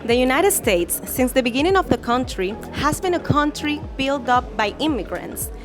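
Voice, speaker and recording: A woman's voice: sits high, animated, normal speed, over music. A young woman from the Republic, a panelist earnestly reading a prepared question. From Dominican Republic.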